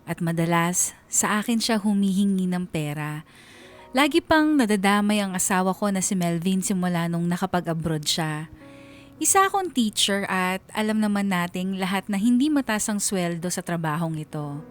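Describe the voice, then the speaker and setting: A woman's voice: lowish voice, expressive, moderate pace, faint music behind, close to an everyday voice. A woman playing an aggrieved married schoolteacher, narrating her family troubles as a confession in a radio drama. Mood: aggrieved, reflective.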